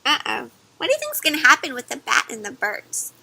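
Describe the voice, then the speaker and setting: A woman doing an interactive picture-book read-aloud for children, expressive and pausing to ask her young listeners questions in chatty asides. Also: high voice, vocal fry, breathy edge.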